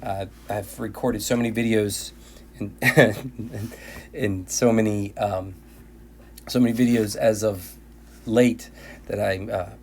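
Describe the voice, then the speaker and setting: A man teaching linear algebra in a recorded video lecture, his voice tired and going hoarse, often restarting and repeating phrases. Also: lowish voice, fairly even intonation, slow, darkish tone. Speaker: math teacher.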